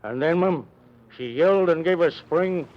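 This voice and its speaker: A man's voice: on the high side, moderate pace, a little hiss or hum, lightly acted. A man playing a grim rustic English servant recounting tragedy in dramatic 1940s radio acting. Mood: grim, excited. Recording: muffled vintage 1940s radio recording.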